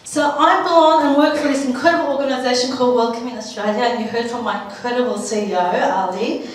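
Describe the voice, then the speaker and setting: A woman from Turkey speaks to a conference in a fairly high voice. Her delivery is informal, expressive and heartfelt, like personal storytelling. The sound is distant and heavily echoing, with faint noise. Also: quick pace. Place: large echoey room at a live event. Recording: echoey hall, distant mic, faint noise.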